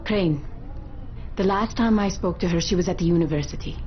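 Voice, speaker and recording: A woman's voice: lowish voice, brisk, muffled recording, a little hiss or hum, natural voice. An actress playing a composed, commanding female survivor leader in a video game. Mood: composed, urgent. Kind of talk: video game cutscene acting.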